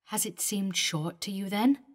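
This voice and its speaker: An aging man pleads with deep, sorrowful longing, as a woman reads him. His voice rises and strains with emotion. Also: a little slow, natural voice. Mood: yearning.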